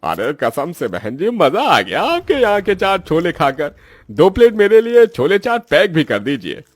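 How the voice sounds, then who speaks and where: A man's voice: sits high, wildly swooping pitch, measured pace, dry recording, soft background music, heavily acted. A man voicing a chatty, enthusiastic street-food customer in a children's cartoon story. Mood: delighted.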